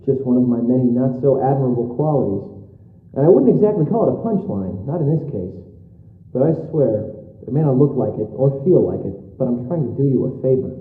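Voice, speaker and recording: A man's voice: medium pitch, lightly acted. A man playing a tactless, sarcastic young best friend in a video game, with a glib, conversational, sardonic delivery. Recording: roomy, dull lo-fi game audio.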